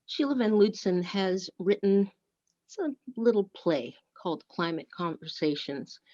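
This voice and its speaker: A woman reading a prepared script aloud as a service leader, with deliberate, measured delivery. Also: medium pitch, lively intonation, darkish tone. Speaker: worship service co-leader and reader. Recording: dull, lo-fi muffled sound.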